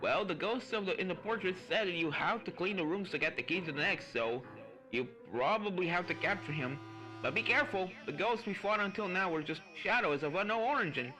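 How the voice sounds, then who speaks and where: A narrator voicing a calm, helpful male pony professor who gives chatty, encouraging instructions in a fan fiction story. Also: medium pitch, brisk, soft background music, poor audio. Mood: cautioning.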